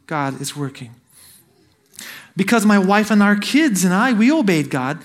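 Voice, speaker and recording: A man with a notably high voice delivers a sermon from the pulpit. He grew up in Brazil and speaks as a missionary in his thirties, earnest and exhorting. He keeps turning to his listeners with direct questions. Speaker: missionary preacher.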